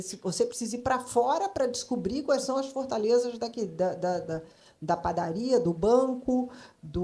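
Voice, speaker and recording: A Brazilian woman speaking off the cuff on a panel, halting and emphatic, repeating phrases as she thinks aloud. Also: on the low side, dark tone. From Brazil, grew up in Brasília. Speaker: panel speaker, creative consultant in advertising. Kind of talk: spontaneous panel discussion.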